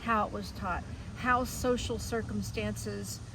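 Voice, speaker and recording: A woman's voice: medium pitch, steady tone, takes it slowly, gravelly, background noise. A woman speaking as an interview guest and museum director, explaining things in an earnest, articulate, conversational way.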